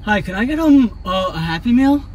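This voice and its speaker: High-voiced stammering young-sounding male prankster. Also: animated, moderate pace, darkish tone. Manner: hesitant, stammering, repeats words. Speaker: prank vlogger. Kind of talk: casual prank ordering at drive-thrus. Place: in a car at drive-thru speakers. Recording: car noise, outdoor drive-thru.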